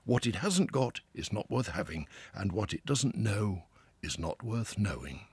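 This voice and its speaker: A man voicing a hearty, self-assured male water rat who talks loudly and with relish. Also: lowish voice, a little slow, husky, dry recording, exaggerated voice. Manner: loud, confident, lively, with chuckles.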